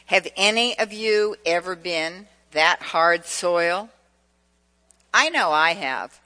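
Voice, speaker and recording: A woman's voice: on the low side, animated, slow, sharp tone. A woman with a central Massachusetts accent preaching a sermon, asking the congregation questions and telling personal stories. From New England. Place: church. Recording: long church reverb.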